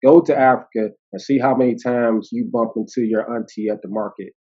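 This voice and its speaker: A man in his fifties with an American accent, telling stories as an interview guest, animated and laughing, with many fillers. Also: mid-range voice, brisk. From United States. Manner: animated, laughing, acting out quoted voices, many fillers and restarts. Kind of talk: casual storytelling conversation. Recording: dull lo-fi audio.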